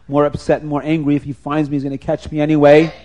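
A man voicing a frightened, gentle old rabbi who speaks fearfully. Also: on the high side, monotone, fast talker, natural voice. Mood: resigned. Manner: fearful, trembling.

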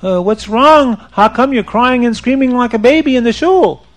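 A man voicing a wise, merciful rabbi in a religious tale, questioning and giving measured, soothing counsel. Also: very high voice, pitched above the performer's own voice. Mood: curious, concerned. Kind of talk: oral religious storytelling, character voice.

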